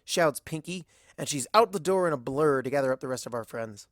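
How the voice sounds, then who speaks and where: A narrator giving an expressive first-person reading of a fan fiction story. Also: medium pitch, fairly quick, no room sound, studio quality.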